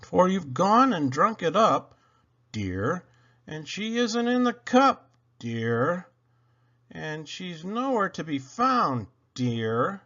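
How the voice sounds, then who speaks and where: A man reading children's poems aloud in a theatrical, playful way, with dramatic emphasis and pauses. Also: high voice, very animated, bright, forceful tone, muffled recording. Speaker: reader of children's poems for a read-aloud channel.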